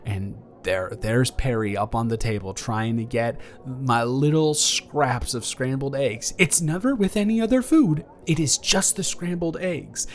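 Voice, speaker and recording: A man's voice: mid-range voice, a little slow, few pauses, close to an everyday voice. A man playing an awkward, affectionate young ex-boyfriend in an improvised intimate audio roleplay, talking in a hesitant, playful, earnest ramble. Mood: fondly amused.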